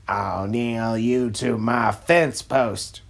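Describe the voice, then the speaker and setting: A woman voicing a gruff, threatening male farmer in a dramatic reading of a cartoon story. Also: a bass voice, steady tone, very slow, pitched below the performer's own voice, full character voice. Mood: menacing. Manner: threatening, curt.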